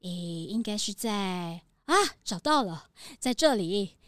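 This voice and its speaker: A woman's voice: mid-range voice, expressive, takes it slowly, a little hiss or hum. A woman voicing a polite, helpful goat who runs a cookie factory in a children's audio drama. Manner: helpful, bleating.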